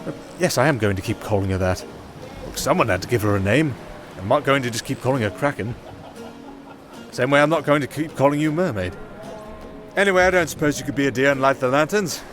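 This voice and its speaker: A man's voice: mid-range voice, lively intonation, brisk, a bit bright, soft background music, lightly acted. A man playing a roguish, wry musician turned makeshift captain in an ASMR fantasy roleplay, chatting to a companion. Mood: amused. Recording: clear outdoor sea ambience behind.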